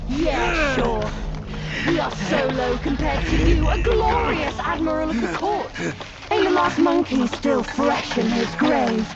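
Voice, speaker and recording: A woman's voice: high-pitched, animated, a little slow. An actress playing a defiant young female spider demon with a sarcastic, taunting manner in a video game cutscene. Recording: dull sound under loud music.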